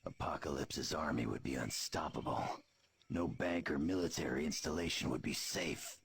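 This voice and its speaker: A man playing a gruff, blunt, tough mutant brawler with a gravelly voice in a video game. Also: rumbling low, moderate pace, a little hiss or hum. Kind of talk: video game cutscene acting.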